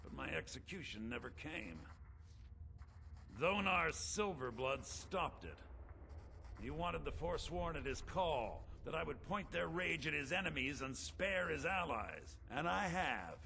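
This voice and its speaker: An actor playing a grave, proud, embittered rebel leader plotting vengeance from prison in a fantasy video game. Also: male voice, fairly high pitch, lively intonation, measured pace, vocal fry, bright, forceful tone, slight background noise, heavily acted. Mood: brooding, vengeful.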